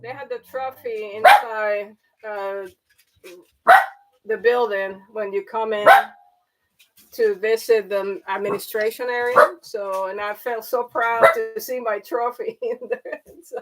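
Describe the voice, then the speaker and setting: A woman's voice: on the high side, takes it slowly, fairly bright tone, low-quality recording. A middle-aged woman, hosting a live stream in a rambling, chatty way with many fillers and restarts. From Panama. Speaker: livestream host. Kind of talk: casual chat.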